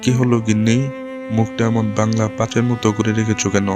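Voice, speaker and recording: A man plays a shrewd, food-loving village husband in a cartoon. His voice is fairly low with little melody, plain-spoken and bossy, with music clearly behind it. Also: monotone, a little fast, natural voice. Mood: puzzled, suspicious. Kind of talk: cartoon voice acting.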